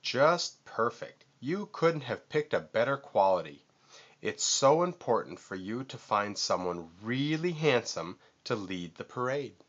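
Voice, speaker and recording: A man voicing a vain, boastful storybook vulture with a squawky, screechy voice and theatrical, drawn-out emphasis. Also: medium pitch, sing-song, fairly bright tone, dull audio. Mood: boastful, smug.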